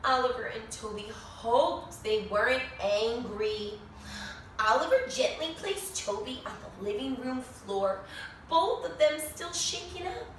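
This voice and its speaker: Slow lively lady reading a kids book out loud, very echoey, asks the little ones questions. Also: a little high. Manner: expressive, with dramatic pauses and questions to young listeners. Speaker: children's author reading own book aloud to young children. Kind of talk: animated children's storybook read-aloud. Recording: echoey room, distant mic, faint noise.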